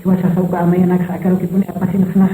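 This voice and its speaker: An earnest village church brother in a radio serial, played by a man. The voice is high for a man and very dark in tone, with room echo and faint noise. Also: monotone, fast, close to an everyday voice. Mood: concerned.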